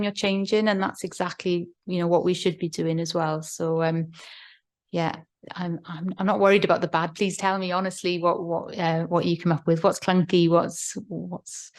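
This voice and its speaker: Chatty woman leading a workshop. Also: on the low side. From Wales. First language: Welsh. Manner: chatty, encouraging, with many restarts. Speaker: workshop facilitator. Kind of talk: leading a group discussion.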